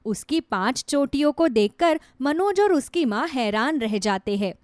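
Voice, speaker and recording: A woman narrates a scripted moral tale. Her voice is high in pitch. The recording is very dry, with no room sound. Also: lively intonation, unhurried, darkish tone, no echo. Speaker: story narrator. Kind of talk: scripted Hindi story narration.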